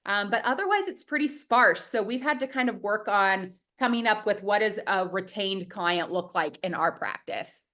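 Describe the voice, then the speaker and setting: Sniffly woman with a bright voice. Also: mid-range voice, expressive, moderate pace, few pauses. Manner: enthusiastic, open and talkative. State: sniffly with a head cold. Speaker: dietitian running private practices, guest. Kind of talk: interview answers over video call. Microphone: video call.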